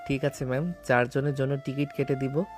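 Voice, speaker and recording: A man's voice: mid-range voice, little pitch movement, a little fast, soft background music, close to an everyday voice. An actor playing a brooding, deferential young married man in a romantic audio story, speaking in a plain, subdued way. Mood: polite, deferential.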